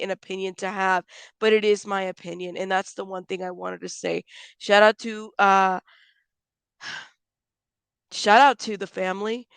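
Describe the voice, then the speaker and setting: A woman hosting a live commentary stream, stuffed up and a little hoarse, talking bluntly and chatting with viewers by name. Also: medium pitch, fairly bright tone, no room sound. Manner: blunt, frank, calls people out, swears; chatty, greets viewers by name, many fillers. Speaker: YouTube commentary host.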